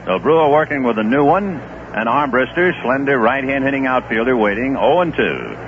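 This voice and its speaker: A man calling a baseball game live on radio, with relaxed, conversational play-by-play and chatty asides. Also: mid-range voice, fairly quick. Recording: dull old radio broadcast with noise.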